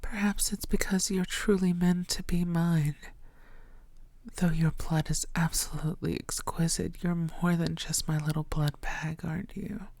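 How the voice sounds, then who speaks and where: A woman playing an ancient, seductive female vampire, lovestruck and possessive, murmuring tenderly in breathy tones and whispers in an intimate ASMR audio roleplay. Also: on the low side, fairly even intonation, measured pace, darkish tone, no room sound. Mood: possessive longing. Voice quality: breathy, often dropping to whispers.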